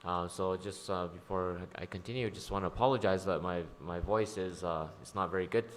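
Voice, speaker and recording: Very deep-voiced man interpreting a sermon. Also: steady tone, fairly quick, a little hiss or hum. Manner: hesitant, frequent ums and uhs. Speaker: church interpreter.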